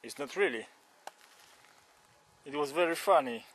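Slow playful man with a Polish accent. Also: a little high, animated, a bit bright, breathy edge. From Poland. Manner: playful, broken English, slow and exclaiming. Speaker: cyclist vlogger. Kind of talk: joking phone-call vlog. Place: outdoors on a country cycle path. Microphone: action camera on helmet or bike.

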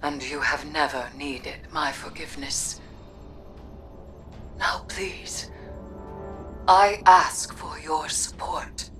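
A brooding sorceress out of a dark fantasy game. Her low voice moves slowly and formally, weighing each word with solemn defiance. Music sits behind her. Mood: pleading, resolute. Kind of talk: theatrical video game dialogue.

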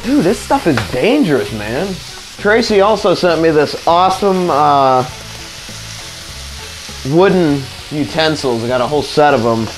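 A man's voice: a little high, expressive, a little slow, low-quality recording. Chatty young man cooking at home. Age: young adult. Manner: chatty, loose, slangy, talks to viewers while cooking. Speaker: vlogger. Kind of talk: casual cooking vlog. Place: home kitchen, indoors.

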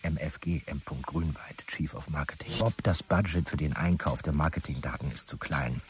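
An actor playing a pedantic, self-important marketing chief dictating formal messages in a German audio drama. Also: male voice, very deep voice, normal speed, background noise. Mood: officious.

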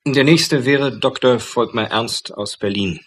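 A man's voice: mid-range voice, a little slow, fairly bright tone, a little hiss or hum, close to an everyday voice. An actor playing a wry, pompous academic committee chairman with formal, florid manners in a radio drama. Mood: solemn, formal.

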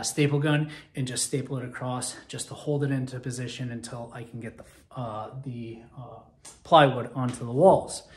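A man in his thirties or forties casually and methodically explaining a DIY project to camera inside a large timber barn. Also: medium pitch. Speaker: DIY woodworker presenting a project video. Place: large empty timber barn loft, indoors. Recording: roomy echo, faint background noise and clicks.

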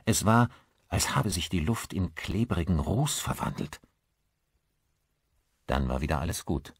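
A man's voice: low voice, animated, a little slow, husky, dry recording, clean studio sound. A man reading a suspense novel aloud as a scripted audiobook narration.

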